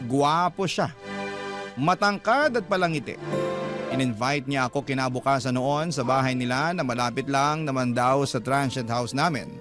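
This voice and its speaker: A man narrating a listener's letter for a radio drama with a swooping, emphatic delivery. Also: on the high side. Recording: loud music bed behind voice. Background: music.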